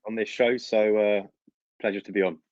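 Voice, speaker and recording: A man's voice: lowish voice, steady tone, normal speed, a little mellow, dry recording. A man from London with a London accent, giving conversational answers as a guest football journalist, on a slightly dull microphone.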